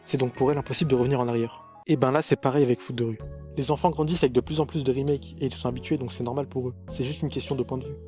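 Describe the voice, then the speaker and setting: A man's voice: medium pitch, steady tone, rapid-fire, mellow, faint music behind. A man reading scripted commentary for a video review in a sarcastic, critical manner.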